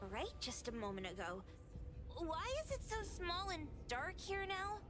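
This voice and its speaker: A woman voicing a cocky, excitable childlike girl hero in an anime video game. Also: very high voice, a little slow, exaggerated voice. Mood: puzzled, boastful. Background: game music.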